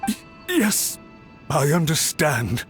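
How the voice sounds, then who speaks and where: A man's voice: fairly high pitch, sing-song, slow pace, music in the background. An actor voicing an anxious, apologetic government official in a video game, earnest, humble and deferential as he pleads. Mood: cowed, deferential. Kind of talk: video game dialogue acting.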